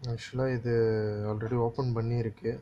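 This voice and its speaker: A man's voice: medium pitch, flat delivery, moderate pace, mellow. A man giving a casual, hands-on repair tutorial, instructing step by step and often checking that the listener follows. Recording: dull lo-fi audio with faint noise.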